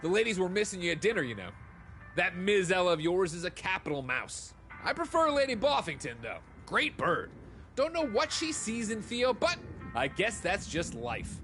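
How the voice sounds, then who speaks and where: A jovial posh chap from a video game, bright and high in voice. He gossips breezily and heartily, with faint music behind him. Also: big pitch swings, normal speed. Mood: jovial, gossipy.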